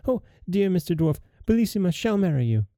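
A man's voice: mid-range voice, sing-song, brisk, creaky, mellow, no echo, studio quality. A man doing a fretful, pleading queen. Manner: pleading, worried. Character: anxious fretful queen mother.

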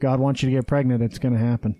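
A man hosting a casual podcast, talking in a rambling, halting way with lots of fillers and restarts. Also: mid-range voice, flat delivery, dark tone, no echo, poor audio. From Utah. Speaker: podcast host. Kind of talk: casual chat. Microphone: studio headset mic.

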